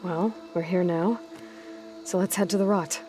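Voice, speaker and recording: An actress playing a practical, resolute young female scout in a naturalistic video game performance, measured and matter-of-fact. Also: fairly low pitch, steady tone, over music, natural voice. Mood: supportive.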